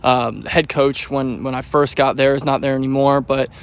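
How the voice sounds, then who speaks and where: Young ballplayer guy, laid-back, lots of ums and restarts, mid-pitched voice. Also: moderate pace, clean tone. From United States. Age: 20s. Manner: relaxed, rambling with fillers and restarts. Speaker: baseball pitcher. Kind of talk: pregame interview.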